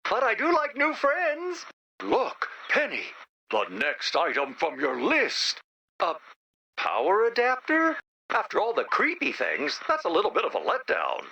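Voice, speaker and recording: Bright, bouncy, anxious childlike robot. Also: mid-range voice, wildly swooping pitch, measured pace, vocal fry, breathy edge, muffled recording, full character voice. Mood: curious, upbeat. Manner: timid, earnest, hesitant. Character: anxious, childlike, well-meaning robot, literal-minded. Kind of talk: animated video game voice acting.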